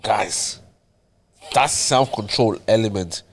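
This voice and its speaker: Low-voiced man talking earnestly. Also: slow pace, breathy edge. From Ireland. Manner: earnest, repeats words as he thinks aloud. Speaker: podcast co-host. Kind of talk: casual chat.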